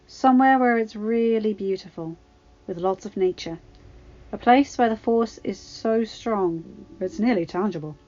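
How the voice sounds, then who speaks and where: A woman's voice: mid-range voice, measured pace, a little mellow, lo-fi sound, close to an everyday voice. A woman voicing a cheeky teenage girl apprentice with a playful, teasing manner in a story reading.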